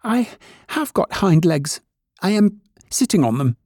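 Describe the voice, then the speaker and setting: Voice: mid-range voice, big pitch swings, measured pace, a little mellow, breathy edge, dry recording, clean studio sound, pitched above the performer's own voice. A narrator voicing a small, shy, proud toy rabbit in a children's storybook, earnest and plaintive like a young boy. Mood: defensive, reluctant.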